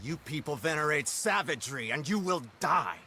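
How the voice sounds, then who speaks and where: A sharp, smug, menacing lawman. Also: on the high side, animated. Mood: cold menace. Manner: formal, pompous, deliberate emphasis. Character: smug, menacing, self-righteous Pinkerton detective agent. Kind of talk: cinematic Western video game acting.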